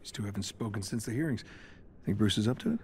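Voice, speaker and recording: An actor playing a flippant, wisecracking hero in a video game, breezy and sardonic. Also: male voice, fairly low pitch, animated, fast talker, darkish tone, slight background noise. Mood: wry. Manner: glib, sardonic. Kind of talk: video game cutscene acting.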